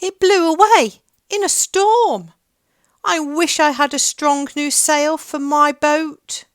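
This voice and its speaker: A woman's voice: high voice, slow pace, no room sound, studio quality, voice pushed up. A woman voicing a fretful storybook goat with a plaintive, whiny manner. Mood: upset.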